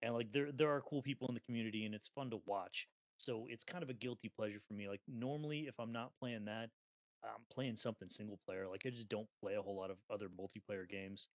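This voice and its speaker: A man's voice: lowish voice, quick pace, no echo. A man in his thirties co-hosting a casual gaming podcast, telling stories with lots of laughter and casual swearing. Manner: laughs often, animated storytelling, swears casually. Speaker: co-host.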